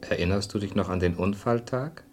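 A suspicious man's voice played by an actor in a radio crime drama. He speaks low and suggestively, prompting doubts, with music playing behind him. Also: a little fast, darkish tone, natural voice.

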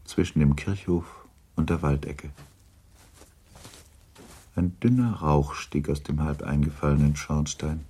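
An actor as the sober, restrained narrator of a period novel in a radio drama, measured, grave and understated. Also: male voice, rumbling low, fairly even intonation, mellow, husky, heavily acted.

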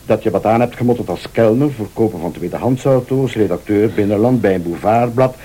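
An actor playing a vengeful rival in a radio thriller, a calm, sardonic accuser who speaks Flemish with deliberate, probing politeness. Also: male voice, fairly low pitch, breathy edge. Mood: accusing. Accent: Flemish Belgian. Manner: deliberate, insinuating, formally polite.

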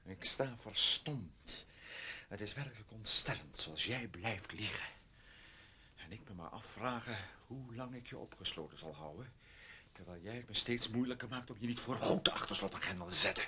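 A man's voice: fairly low pitch, expressive, normal speed, bright, forceful tone, slight background noise. An actor in a radio play playing a possessive, bitter man who taunts and threatens with theatrical menace. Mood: bitter, menacing. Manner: taunting, theatrical, swinging into agitation. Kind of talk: Dutch radio play acting.

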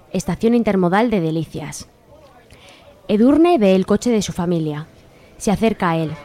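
A woman's voice: medium pitch, dark tone. A woman giving scripted narration for a radio serial.

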